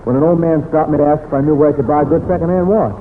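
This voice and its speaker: A man's voice: fairly high pitch, fairly even intonation, rapid-fire, in a large hall, a little hiss or hum, close to an everyday voice. A narrow, tinny old radio western. A male actor plays an earnest American ranch hand who talks plainly and a little hesitantly. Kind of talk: 1940s-50s radio western acting.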